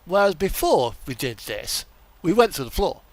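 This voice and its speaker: A man's voice: on the high side, very animated, moderate pace, dry recording. A man giving casual, rambling game commentary, thinking aloud and trailing off as he plays. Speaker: streamer playing a truck simulator.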